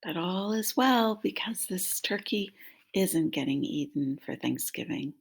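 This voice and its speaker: A woman's voice: fairly low pitch, expressive, unhurried, vocal fry, husky. A woman in her fifties reading a picture book aloud to young children, prompting them with questions, recorded on a laptop in a small room at home. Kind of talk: interactive children's story read-aloud. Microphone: laptop microphone at arm's length.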